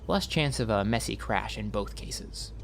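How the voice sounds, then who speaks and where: A man narrating a scripted audiobook story in a wry, expressive storytelling manner. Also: lowish voice, a little slow, vocal fry, slight background noise.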